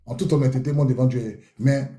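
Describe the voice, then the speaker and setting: A man's voice: mid-range voice, fairly even intonation, fairly quick, mellow, reverberant. A man hosting a live talk room, chatty and repetitive as he probes a caller with questions. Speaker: host. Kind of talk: live audio room moderation.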